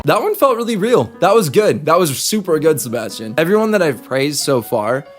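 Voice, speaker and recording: A man's voice: on the high side, very animated, fairly quick, soft background music. A man hosting and critiquing voice acting in a casual, encouraging but frank conversational way. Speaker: host judging voice acting auditions.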